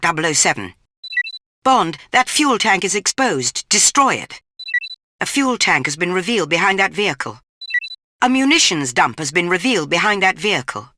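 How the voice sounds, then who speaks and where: A woman's voice: fairly low pitch, background noise. A woman playing a stern British spy chief, giving clipped, formal orders as video game mission briefings. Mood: urgent.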